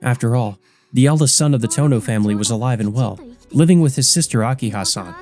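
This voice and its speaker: A man's voice: medium pitch, fast, darkish tone, soft background music, natural voice. A man voicing a composed, stern but caring older schoolgirl who explains and cautions precisely in a visual novel. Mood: grave, explanatory. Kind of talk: visual novel character reading.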